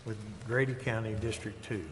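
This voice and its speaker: A man's voice: on the low side, fairly even intonation, moderate pace, a bit bright. A man speaking as a council member, making brief remarks at a public meeting. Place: large council chamber. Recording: echoey hall, faint noise.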